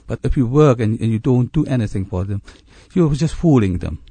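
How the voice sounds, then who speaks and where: A man's voice: mid-range voice, lively intonation, fairly quick, gravelly, dark tone, husky, dry recording. A middle-aged man from Indonesia, a mosquito control official, answering interview questions in a plain, direct way.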